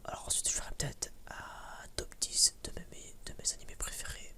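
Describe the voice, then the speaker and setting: A woman chatting casually as an ASMR creator, in a rambling, halting way that often repeats words and phrases. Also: bright, forceful tone. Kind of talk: whispered ASMR casual chat.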